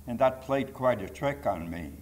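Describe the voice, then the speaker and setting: A man's voice: mid-range voice, animated, normal speed, a little mellow, slight background noise. A man in his nineties from Poland with a Polish accent, telling his life story to an audience in an emphatic, digressive way with rhetorical asides. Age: 90s. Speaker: Holocaust survivor giving testimony. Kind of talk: personal testimony to a live audience. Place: public talk to an audience.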